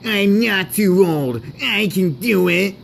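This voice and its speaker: A man's voice: sits high, a little slow, creaky, a little hiss or hum, voice pushed up. A man voicing an eager, friendly, pleading steam engine in a homemade story.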